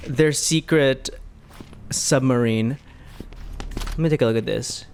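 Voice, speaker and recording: A man's voice: medium pitch, slow pace, creaky, a little mellow, slight background noise. A man giving casual, playful commentary as he plays a video game, rambling and reacting as he goes. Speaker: streamer playing a video game.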